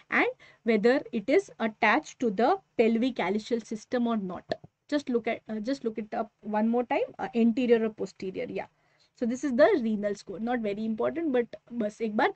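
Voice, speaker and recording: A woman with an Indian accent lectures in a fairly high voice, instructive and emphatic, with short check-in tags. She slips between English and Hindi phrases. The sound is close and dry, slightly dull like online class audio. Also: darkish tone, dry recording. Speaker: medical lecturer. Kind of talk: fast revision lecture, mixing in Hindi phrases.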